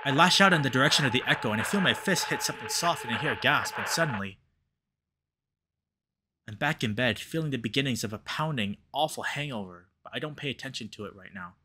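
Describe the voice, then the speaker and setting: A man voicing a haunted, grieving animal-man who narrates a visual novel in a hushed, grave, measured way. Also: mid-range voice, lively intonation, slight background noise. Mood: dazed.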